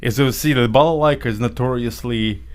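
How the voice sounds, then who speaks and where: A man's voice: medium pitch, fairly quick, a little hiss or hum. A man from Ukraine, a podcast co-host telling rambling stories in casual chat and laughing often.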